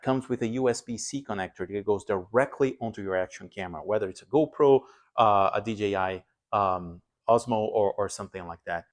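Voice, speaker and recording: A man hosting an aviation vlog, explaining his equipment in a casual, conversational way. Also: lowish voice. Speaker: aviation vlog host and pilot. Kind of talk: casual explanatory gear walkthrough to camera.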